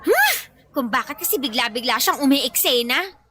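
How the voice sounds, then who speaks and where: A woman's voice: high-pitched, very animated, moderate pace, background noise. An actress with a Filipino accent playing a jealous, irritable live-in girlfriend in a radio drama, testy and snappish. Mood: hurt, annoyed.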